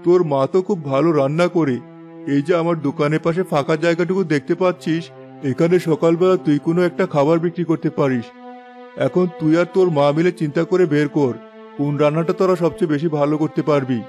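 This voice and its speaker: Quick-talking, helpful village shopkeeper voiced by a man. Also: fairly high pitch, a little mellow, faint music behind, natural voice. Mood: helpful, matter-of-fact. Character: helpful older-brother-like village shopkeeper giving practical advice. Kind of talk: scripted children's moral story cartoon dubbing.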